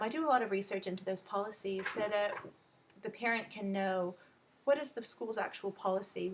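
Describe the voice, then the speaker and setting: A woman's voice: medium pitch, a bit bright. A woman from Texas with an American Texan accent, giving an informal explanatory introduction to her work as an advocate and coach. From Texas, United States. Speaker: education advocate, coach and tutor introducing own service. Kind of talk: informal explanatory talk to camera.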